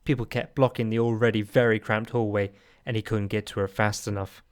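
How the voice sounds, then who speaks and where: Smooth-voiced man narrating a story. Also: lowish voice, level tone, brisk. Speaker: narrator in amateur audio drama. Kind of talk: scripted third-person story narration.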